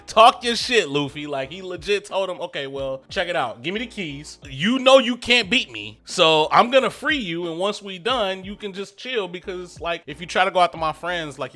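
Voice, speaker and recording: Host: young man, a little stuffy from a cold, hyped slangy recap, bright tone, faint music underneath. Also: high voice. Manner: excitable, slangy, laughs often, acts out character lines. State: getting over a cold, a bit stuffy. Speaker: anime review YouTuber. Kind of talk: casual manga review monologue.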